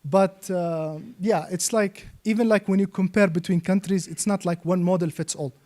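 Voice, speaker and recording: A man speaks as a seminar trainer, in a fairly high, mellow voice. He explains step by step and keeps tagging his points with little checking questions. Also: fairly even intonation, normal speed. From Yemen. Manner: checks understanding with frequent "okay?" and "right?". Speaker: trainer and Islamic finance expert.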